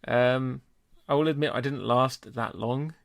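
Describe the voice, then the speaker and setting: A man's voice: mid-range voice, fairly even intonation, measured pace, gravelly. Chatty man hosting a podcast. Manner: jokey, chatty, laughs easily. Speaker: podcast host. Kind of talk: casual chat.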